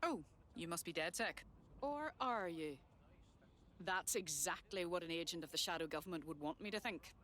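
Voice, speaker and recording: A woman's voice: lowish voice, lively intonation, moderate pace, fairly bright tone, a little hiss or hum. A woman playing a paranoid conspiracy theorist in a video game, talking in an insistent, emphatic manner. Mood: suspicious, insistent. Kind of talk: video game cutscene dialogue.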